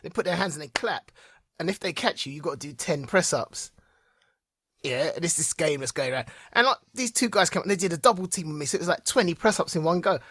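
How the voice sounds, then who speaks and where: Man, forties, breathy voice, dry close sound, animated casual chat. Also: on the high side, quick pace, dry recording. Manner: laughs often, animated storytelling. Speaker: podcast co-host.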